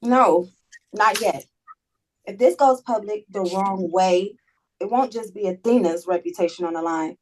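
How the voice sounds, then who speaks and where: A woman's voice: mid-range voice, unhurried, a little mellow, close to an everyday voice, poor audio. A woman in a table read playing a blunt, emphatic, protective female colleague in a drama. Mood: grave, urgent. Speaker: actor in a script table read. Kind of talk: dramatic script reading.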